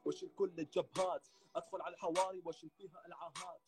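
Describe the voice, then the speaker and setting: A man fires off words at great speed in a rapid, animated way, freestyling and chatting on a stream. His voice sits a little high. Music plays clearly behind him. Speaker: rapper on a live stream. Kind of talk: freestyle rap and casual chat.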